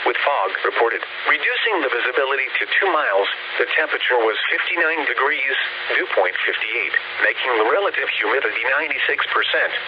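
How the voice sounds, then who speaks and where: Synthetic male weather voice over noisy radio. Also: mid-range voice. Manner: clipped, even listing of figures and times. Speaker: weather broadcast announcer. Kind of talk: automated weather radio readout. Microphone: captured off the air from a radio receiver. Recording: dull, noisy radio reception.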